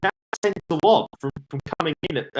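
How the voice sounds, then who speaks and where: A man's voice: a little high, quick pace, a little hiss or hum, poor audio. A man co-hosting a casual live sports chat show.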